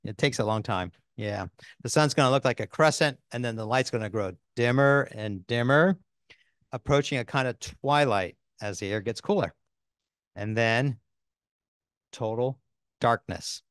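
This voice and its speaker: A man's voice: medium pitch, a bit bright, clear, resonant tone. Older man, scripted town hall chat, upbeat, dry close sound, handheld mic. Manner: upbeat, reads scripted lines with quick affirmations. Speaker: co-host. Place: studio set in front of a screen.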